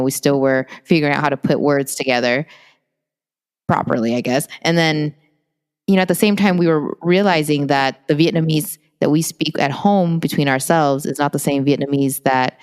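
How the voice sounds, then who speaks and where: A young adult woman co-hosting a podcast, reflecting on family memories in an unhurried, casual way. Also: deep voice, big-room sound. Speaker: podcast co-host. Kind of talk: casual chat.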